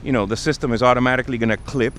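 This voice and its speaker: A man's voice: mid-range voice, steady tone, very fast, a bit bright. A man hosting a casual livestream outdoors on a city street, explaining things in a conversational way. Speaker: livestream host. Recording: outdoor street noise, passing vehicles. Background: traffic and urban ambience.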